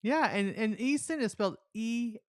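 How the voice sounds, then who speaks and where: A woman's voice: fairly high pitch, normal speed, dry recording, studio quality. A middle-aged woman hosting a podcast in a casual, enthusiastic and encouraging way. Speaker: podcast host. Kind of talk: casual interview chat.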